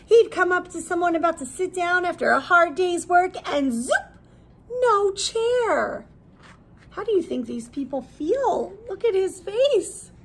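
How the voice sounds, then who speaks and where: A woman teacher reading a picture book aloud expressively, pausing to explain things in simple words for young children. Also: very high voice, lively intonation.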